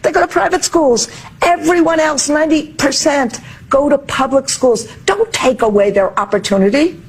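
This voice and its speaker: A woman's voice: high voice, normal speed, rough recording. A woman in a TV interview, speaking angrily and with heat as a union leader. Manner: angry, heated. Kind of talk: TV interview clip.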